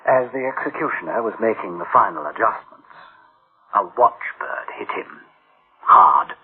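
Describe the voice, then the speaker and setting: An actor playing an anxious senior official in a radio play, speaking gravely and haltingly with theatrical delivery on a tinny recording. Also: male voice, lowish voice, expressive, exaggerated voice. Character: anxious senior official alarmed by runaway machines. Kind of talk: dramatic radio play acting.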